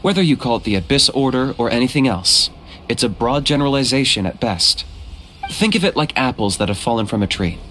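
A man's voice: mid-range voice, animated, brisk, sharp tone, a little hiss or hum. A man playing a cool young hunter in a video game, terse, matter-of-fact and unruffled. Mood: calm, reflective.

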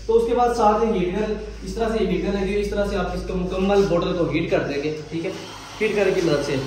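A man in his twenties with a Pakistani accent, explaining informally as he hosts a product demonstration and sales pitch, often adding check-in tags. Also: fairly quick, a little mellow. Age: 20s. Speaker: business video host demonstrating machinery. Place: small tiled indoor factory room. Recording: echoey tiled room, distant mic, faint noise.

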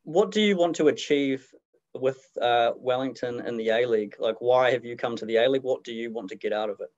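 A male reporter puts straightforward questions to a player. His voice is medium in pitch, and the recording sounds slightly dull and lo-fi. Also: brisk, a little mellow. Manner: plain, direct questioning. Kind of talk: press conference questions.

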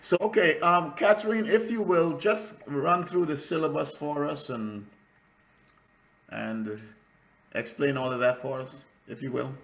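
Older Trinidadian man in his seventies, pastor-instructor, unhurried and filler-heavy, video call. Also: on the high side, poor audio. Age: 70s. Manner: warm, unhurried with frequent fillers and restarts. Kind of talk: class introduction. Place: home room on a video call.

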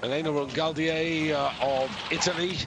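A man giving live, measured commentary on a ski jumping competition, explaining scores and distances with pauses and fillers. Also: a little high, steady tone, noisy background. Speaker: sports commentator.

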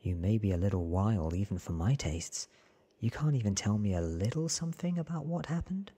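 A man voicing an earnest, awed young man from a storybook in a hushed, breathy ASMR whisper. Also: lowish voice, lively intonation. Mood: curious. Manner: hushed, drawn-out, earnest telling.

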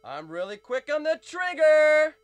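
A very high, squeaky villain who threatens the hero with a sneer. The line is read close to the mic in a dry booth, game style. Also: male voice, sing-song, measured pace, dry recording, soft background music, heavily acted. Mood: menacing. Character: squeaky menacing male villain boss.

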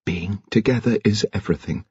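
A man voicing a tender, earnest young lover in an audiobook, soft and reassuring. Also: fairly low pitch, fairly quick, slightly rough, dry recording, dull audio.

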